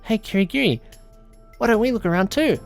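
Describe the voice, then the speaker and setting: A man voicing an excitable young female detective with eager, surprised questions in an audiobook. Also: high-pitched, brisk, gravelly, faint music behind, voice pushed up. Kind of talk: audiobook character dialogue.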